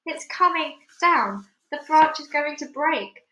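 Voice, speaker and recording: A fat, greedy aunt from a storybook acted out by a woman, domineering and excitable. Her voice is bright, high and demanding, with loud exclamations in an echoey room. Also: slow pace, slight background noise, exaggerated voice. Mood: loud excitement.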